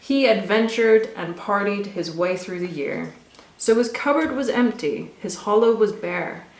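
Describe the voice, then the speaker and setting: A woman reading a rhyming children's story aloud with expressive, rhythmic delivery. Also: medium pitch, unhurried. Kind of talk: scripted storybook narration for children. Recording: echoey room.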